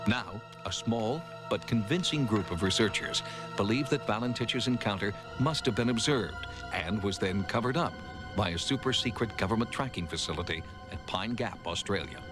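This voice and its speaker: A man's voice: lowish voice, moderate pace, music in the background. A man narrating a documentary from a script in a dramatic style.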